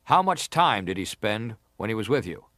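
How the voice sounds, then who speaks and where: Probing senator, dryly recorded. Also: male voice, on the low side, very animated, fairly bright tone, no echo, clean studio sound. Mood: inquisitive, stern. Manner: deliberate, formal, pressing. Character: probing, formal, insistent senator questioning a witness. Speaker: actor reading an examining senator. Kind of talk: dramatised reading of hearing testimony.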